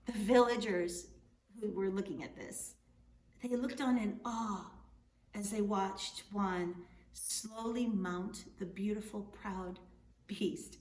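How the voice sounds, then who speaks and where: A woman narrates a storybook with expressive, dramatic phrasing. Her voice is medium in pitch and she lingers on key words. The recording is echoey, with faint background noise. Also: slow. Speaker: author reading storybook aloud. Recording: echoey room, distant mic, faint noise.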